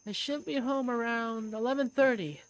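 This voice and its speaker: A woman's voice: on the high side, moderate pace, slight background noise, lightly acted, rough recording. A woman voicing an easygoing young male bar waiter who narrates his day in a visual novel fan dub. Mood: easygoing, wry.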